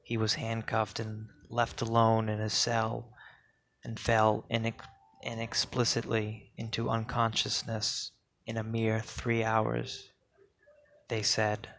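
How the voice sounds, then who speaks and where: A man reads poetry aloud in a solemn spoken-word style, with deliberate, measured phrasing and pauses. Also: fairly low pitch, monotone, gravelly, a bit bright, slight background noise. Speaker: poetry reader.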